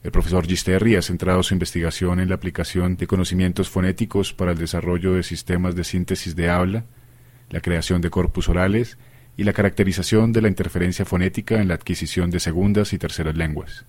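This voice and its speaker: Deep, fast-talking radio interviewer. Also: male voice, flat delivery, darkish tone, no echo. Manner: formal, read-out introductions. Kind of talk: scripted radio interview questions.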